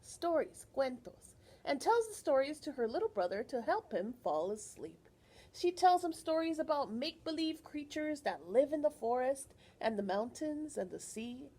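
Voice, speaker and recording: A woman reading a picture book aloud to young children as a librarian, animated and teacherly, often pausing to put questions to her listeners. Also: sits high.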